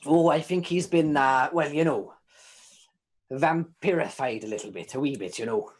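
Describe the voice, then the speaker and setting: Guy doing a rambling locked-up informant, cheeky and chatty, kinda high voice. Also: normal speed, close to an everyday voice. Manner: rambling, stammering, old-timey folksy phrasing.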